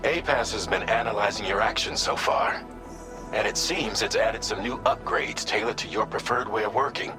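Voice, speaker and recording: An actor playing a composed, businesslike mission adviser in a video game, giving formal, measured and precise briefings. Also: male voice, fairly low pitch, roomy sound, music in the background, lightly acted. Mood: informative, composed.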